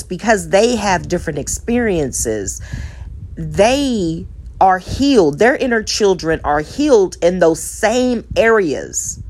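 A woman's voice: medium pitch, darkish tone. A woman, a divorced single mother giving relationship advice in an earnest, reflective monologue, with a preacher-like cadence, repeated phrases and self-deprecating asides.

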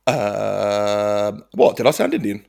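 A man's voice: lowish voice, slow pace, gravelly, darkish tone. A man in casual comedy chat, sweary and rambling, laughing often and restarting his sentences.

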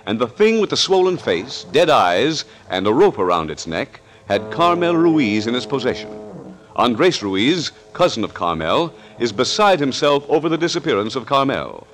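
A man narrating a suspense serial as announcer, with a dramatic, deliberate 1940s radio delivery. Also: mid-range voice, very animated, fairly bright tone, a little hiss or hum.

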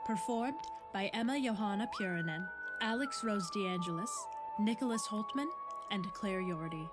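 A woman's voice: mid-range voice, normal speed. A woman reading scripted credits as the announcer of a show. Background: loud music.

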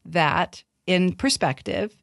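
A middle-aged woman co-hosting a family podcast, speaking in a heartfelt, gently reflective casual way. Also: on the low side, lively intonation, slow, gravelly, dry recording, studio quality. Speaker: podcast co-host. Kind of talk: casual chat.

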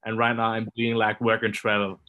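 A man's voice: lowish voice, monotone, fairly quick, narrow, tinny audio. A man, a guest in a podcast interview, speaking hesitantly with many fillers. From Germany. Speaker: entrepreneur guest.

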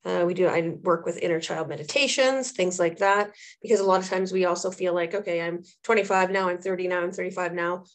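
A woman's voice: on the low side, a little fast, few pauses. A woman, thirties or older, answering as an interview guest and therapist, speaking earnestly and reflectively and repeating phrases for emphasis. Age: 30s or older. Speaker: trauma therapist and social worker, interview guest.

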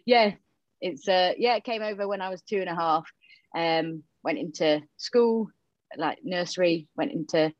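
A woman's voice: fairly low pitch, moderate pace, no echo. A woman from Romania with a Yorkshire accent, chatting openly as a podcast guest. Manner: chatty, open, many fillers. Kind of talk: casual interview chat. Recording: dull muffled audio.

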